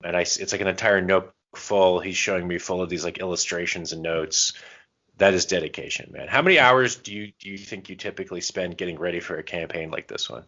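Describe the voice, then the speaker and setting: A man's voice: low voice, fast talker, pushed and bright. A man hosting a podcast in casual, informal conversation, prompting his co-host as he goes. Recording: dull, lo-fi voice recording.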